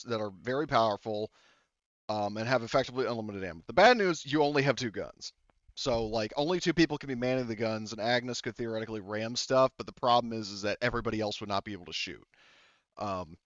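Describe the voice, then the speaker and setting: Halting game master man, smooth dry voice. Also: medium pitch, a bit bright, no echo, dull audio. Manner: halting, explaining rules with many fillers. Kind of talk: running a tabletop game.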